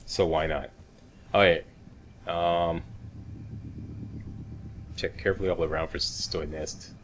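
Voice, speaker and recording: A man's voice: sits low, steady tone, measured pace. A man giving casual video game walkthrough commentary, laid-back, in short step-by-step instructions. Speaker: gaming video host. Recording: clear background game noise. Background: video game ambience.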